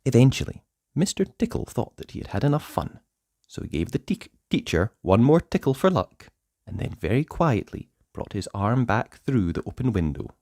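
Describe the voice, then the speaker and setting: A man narrating a children's storybook aloud in a playful way, with dramatic pauses and emphasis. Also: mid-range voice, very animated, dark tone, no room sound, clean studio sound.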